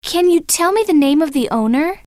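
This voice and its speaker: A woman voicing a curious, keen young female amateur sleuth in an audiobook, speaking deliberately and expressively. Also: very high voice, animated, a little mellow, dry recording, studio quality. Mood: polite, inquiring. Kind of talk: audiobook character dialogue.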